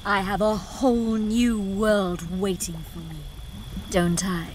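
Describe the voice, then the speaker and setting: A woman voicing a haughty, scheming dark fairy queen in a video game, cold and mocking. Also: medium pitch, level tone, slow pace, slight background noise, close to an everyday voice. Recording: game cutscene with loud music.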